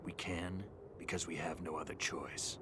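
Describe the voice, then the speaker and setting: A man voicing a gravelly, very low-voiced veteran soldier. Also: fairly even intonation, normal speed, natural voice. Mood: steady. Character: gruff veteran male soldier, terse and stoic. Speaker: game character. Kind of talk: video game cutscene voice acting. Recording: game audio with ambient noise.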